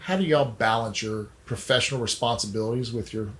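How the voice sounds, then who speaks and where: A man hosts a casual podcast interview. His pitch is average for a man. He is folksy and affirming, and can be blunt. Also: brisk, fairly bright tone. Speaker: podcast host.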